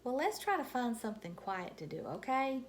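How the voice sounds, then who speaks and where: A woman voicing a patient but flustered mother who hushes her child, in a measured manner, for a storybook. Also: on the high side, very animated.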